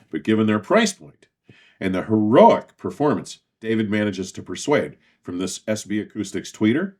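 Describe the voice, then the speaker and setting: A man hosting a scripted product review, speaking formally in measured, emphatic phrases with deliberate pauses. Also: on the low side. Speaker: host and audio equipment reviewer.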